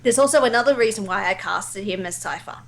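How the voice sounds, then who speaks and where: A woman's voice: mid-range voice, fast talker, creaky, echoey room, a little hiss or hum. A woman chatting casually and enthusiastically about video games as a guest who directs fan dubs.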